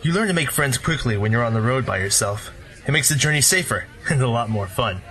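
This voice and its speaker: A man's voice: medium pitch, fairly quick, lightly acted, low-quality recording. Man playing a laid-back wandering ex-warrior. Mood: easygoing. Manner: relaxed, friendly, wry. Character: easygoing drifter ex-warrior, narrator.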